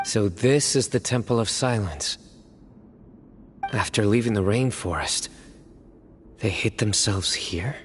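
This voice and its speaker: Stern young guardian for a game: lowish, unhurried, deadpan, terse, guarded. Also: male voice, background noise. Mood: stern, wary. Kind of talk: video game voice acting. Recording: faint music behind.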